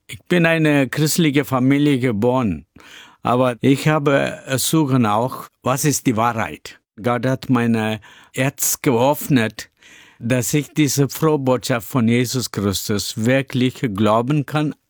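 Older pastor, slow and halting, dry close sound. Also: male voice, mid-range voice, dry recording. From India. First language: Tamil. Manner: slow, searching for words, many fillers. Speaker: pastor and church founder. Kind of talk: interview answers in halting German.

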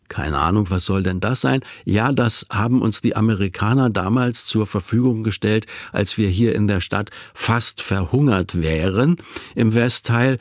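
A man in his sixties with a lowish voice, hosting the conversation and telling anecdotes in a lively way, with some hesitations. He grew up in West Berlin. The sound is dry and close-miked. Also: no echo. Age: 60s. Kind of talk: conversational interview.

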